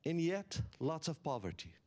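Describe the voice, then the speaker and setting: A man's voice: fairly high pitch, sing-song, moderate pace, a little mellow. Man giving a conference talk. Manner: earnest, explanatory, with many fillers and restarts. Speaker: economist giving a summit talk. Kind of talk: conference keynote lecture.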